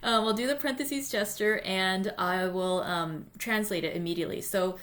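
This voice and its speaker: Female read-aloud host, mid-pitched voice, informal and self-deprecating, able to read literary narration. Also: moderate pace, a bit bright, a little hiss or hum. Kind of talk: chatty introduction, then literary audiobook-style reading.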